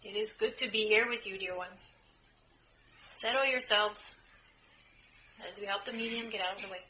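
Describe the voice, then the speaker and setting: A woman leading a guided group meditation as a spiritual channeler, speaking in measured, deliberate phrases with frequent pauses. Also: medium pitch, pushed and bright, rough recording. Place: indoor room, live group setting. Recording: live group room, clear background noise.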